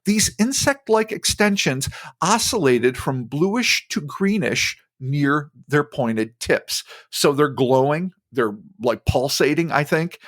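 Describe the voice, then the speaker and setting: Male podcast host, New Jersey accent, animated reading voice on the higher side, dry close mic. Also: takes it slowly, no room sound. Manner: lively storyteller, breaks off into joking asides. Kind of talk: reading a story aloud with casual banter.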